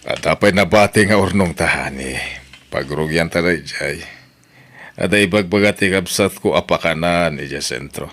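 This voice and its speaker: A warm, proud father in his middle years, voiced by a man. His voice sits very low and he speaks to his children with gentle, laughing affection. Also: moderate pace. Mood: fond.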